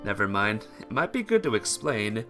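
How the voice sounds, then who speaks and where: A man's voice: on the low side, big pitch swings, normal speed, bright, forceful tone, soft background music. A man streaming a visual novel, switching between casual chat with viewers and reading the story aloud. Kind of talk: casual livestream chat mixed with expressive read-aloud narration.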